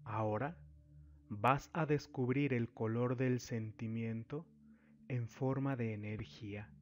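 A man narrating a guided meditation as a spiritual guide, giving deliberate instructions in short phrases with long pauses. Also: medium pitch, fairly even intonation, darkish tone, highs cut off. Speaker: spiritual therapist, meditation guide and channel host. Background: background music.

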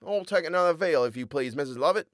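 An overwrought, lovesick young man who talks theatrically, pitched up and very animated, as a man reading the story voices him. The delivery is dry and close. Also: fast talker, no room sound. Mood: melodramatic.

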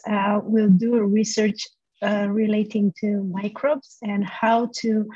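A woman's voice: mid-range voice, darkish tone, breathy edge, no echo. A woman, a university lecturer explaining a study program, with many "uh" fillers. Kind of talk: presenting a study program over a video call. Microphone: laptop mic on a video call. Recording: dull lo-fi video call audio.

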